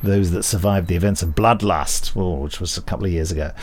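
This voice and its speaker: A man's voice: low voice, steady tone, fast. A man co-hosting a podcast, reading out news and chatting easily with his co-host. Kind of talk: casual chat and reading announcements. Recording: faint music behind.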